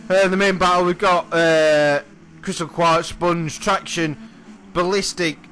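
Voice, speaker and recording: A man with a hoarse, croaky voice commentating a replay of his own sim race, chatty and often apologising and correcting himself. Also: sits high, steady tone, a little slow, fairly bright tone, a little hiss or hum. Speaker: sim racing commentator and driver.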